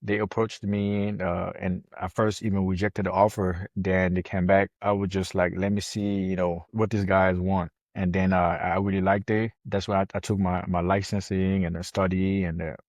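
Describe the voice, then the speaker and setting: A man talks as a guest expert in an interview. His voice is low and stays fairly flat in pitch, recorded dry. He speaks conversationally, sometimes repeating words as he finds his phrasing. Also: normal speed, few pauses, no echo. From France. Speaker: podcast guest, financial planner.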